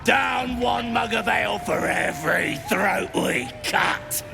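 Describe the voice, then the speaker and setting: Shrill, gravelly bullying goblin. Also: male voice, slow pace, shouting, over music, heavily acted. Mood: boisterous. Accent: English working-class. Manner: belligerent, taunting. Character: boastful, bullying goblin brute who loves drink. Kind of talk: video game character acting.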